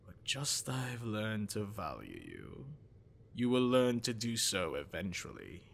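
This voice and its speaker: Man acting a low, gravelly, possessive shapeshifter. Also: wildly swooping pitch, full character voice. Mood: persuasive, possessive.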